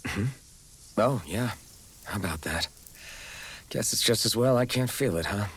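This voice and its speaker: A man's voice: fairly low pitch, a little slow, fairly bright tone, husky, heavily acted. An actor playing a laid-back young man, numb to pain, in a video game. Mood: offhand. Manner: easygoing, offhand. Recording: game audio with faint music.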